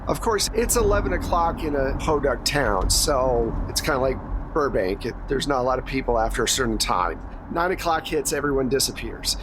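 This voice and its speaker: A man's voice: medium pitch, animated, normal speed, noisy background. A man running a tabletop horror game, narrating conversationally with wry asides. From Wisconsin. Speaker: game master.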